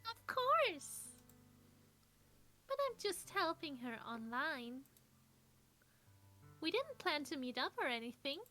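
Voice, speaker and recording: A woman voicing a gentle teenage schoolgirl in a visual novel, cheerful on the surface and quietly sad, with music behind her. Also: high-pitched, very animated, takes it slowly, pauses often, exaggerated voice. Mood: forced cheer.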